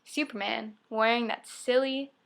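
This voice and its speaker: A woman's voice: fairly high pitch, steady tone, measured pace, creaky, a bit bright. A young woman performing a spoken-word poem, reciting expressively in short, emphatic phrases. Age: young adult. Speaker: poet. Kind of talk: spoken-word poetry reading.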